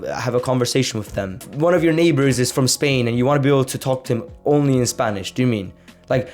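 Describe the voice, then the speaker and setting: A teenage boy from Sweden chats straight to camera in a mid-pitched voice. He rambles loosely, filling his talk with "like" and "you know what I mean," and sounds like a casual video blogger. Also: darkish tone. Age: teenager, fifteen. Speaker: language-learning YouTuber. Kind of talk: casual talking-head vlog.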